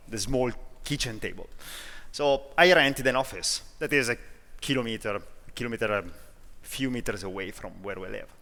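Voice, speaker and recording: A man from Italy with an Italian accent, giving a conference talk in a self-deprecating, lively way with frequent restarts. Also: mid-range voice, long reverb tail. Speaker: conference speaker. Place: large conference hall.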